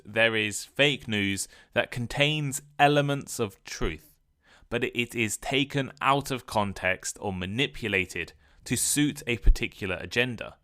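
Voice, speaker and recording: A man hosting an English-learning podcast, reading a scripted lesson in a clear, measured way. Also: mid-range voice, sharp tone, no echo, clean studio sound. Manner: clear, measured delivery that sounds read from a script. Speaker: podcast host and English teacher. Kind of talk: scripted educational narration.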